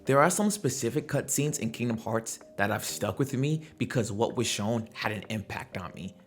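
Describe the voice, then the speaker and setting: A man's voice: mid-range voice, animated, moderate pace, soft background music. A man hosting a gaming commentary video, talking in a conversational, slangy way and throwing out rhetorical questions. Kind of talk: casual opinionated video commentary.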